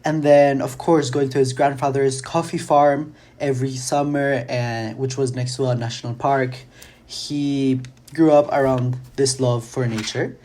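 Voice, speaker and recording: A man's voice: medium pitch, steady tone, measured pace, darkish tone. A young man from Chile who grew up in Argentina, a student guest on a podcast explaining things at length with the odd restart. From from Chile, raised in Argentina. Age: young adult. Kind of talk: casual interview answers.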